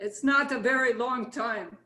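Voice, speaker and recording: Woman acting slow, deadpan woman. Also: fairly high pitch, roomy sound. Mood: dry, weary. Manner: deadpan, terse. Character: deadpan, morbid Russian woman, unimpressed by everything. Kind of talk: staged play reading.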